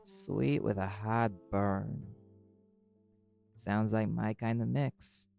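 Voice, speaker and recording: A man's voice: fairly low pitch, drawn-out words, vocal fry, darkish tone, clean tone, soft background music, full character voice. A man playing a cool, wry, flirty magical private eye in an intimate audio roleplay, teasing dryly and swearing casually.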